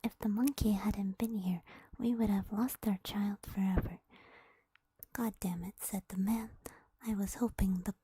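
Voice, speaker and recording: A woman streamer telling a story in a soft unvoiced whisper, playful and teasing, with giggly asides to her chat. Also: medium pitch, steady tone, measured pace, breathy edge, dry recording.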